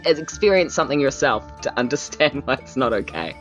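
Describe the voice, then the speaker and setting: A woman's voice: a bass voice, lively intonation, fairly quick. A woman with a New Zealand accent chatting and joking as a player in a tabletop game, in a playful, hesitant way. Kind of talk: casual joking game chat. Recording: music playing behind the voice. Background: music.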